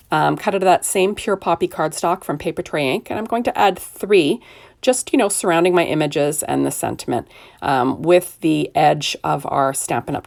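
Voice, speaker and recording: An older woman with a rough, hoarse voice, chattily narrating a card-making tutorial step by step. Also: deep voice, a little mellow. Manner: chatty, self-deprecating, narrates each step with "there we go". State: hoarse, recovering from illness. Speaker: card-making video host. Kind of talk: casual crafting tutorial narration.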